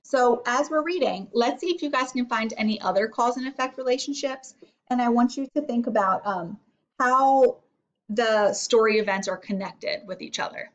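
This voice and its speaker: A woman with a fairly high voice reads to children as their teacher. She narrates the storybook and explains it in an instructive, questioning manner. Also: normal speed. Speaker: teacher reading aloud. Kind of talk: storybook read-aloud with guided lesson.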